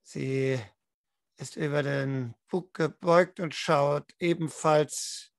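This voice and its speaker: A man's voice: fairly high pitch, fairly even intonation, takes it slowly, creaky, no room sound, clean studio sound. A man leading a tabletop role-playing game as game master, explaining and narrating. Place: indoor room at home, online video call.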